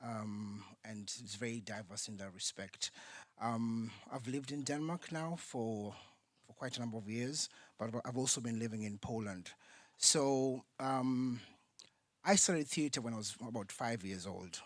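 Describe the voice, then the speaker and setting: Man, theatre founder, panel speaker; animated anecdotal delivery, dry conference mic. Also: mid-range voice, measured pace, no echo. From Kenya. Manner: lively storytelling with frequent ums. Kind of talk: panel talk, personal story. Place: conference centre.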